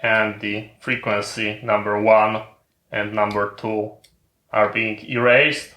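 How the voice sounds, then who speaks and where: Slow, bright-voiced man giving step-by-step instructions. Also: lowish voice, flat delivery, reverberant, low-quality recording. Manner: methodical, repetitive phrasing in short separated steps. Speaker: tech channel presenter giving a tutorial. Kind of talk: step-by-step instructional narration of a hardware demonstration.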